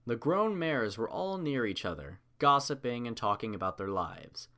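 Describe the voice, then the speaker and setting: A man's voice: mid-range voice, sing-song, moderate pace, fairly bright tone. A man reading a story aloud as narrator, in a scripted storybook style.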